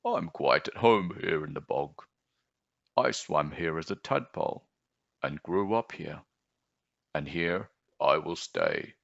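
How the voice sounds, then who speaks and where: Storybook frog played by a man: very low, croaky, contented homebody, curious, dull roomy recording. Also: big pitch swings, slow, a bit bright, heavily acted. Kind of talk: storybook character voice.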